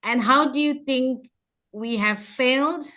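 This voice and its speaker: A woman from Africa, from banking, asking a panelist a chatty, deliberate question and laughing easily. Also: high-pitched, pushed and bright. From South Africa.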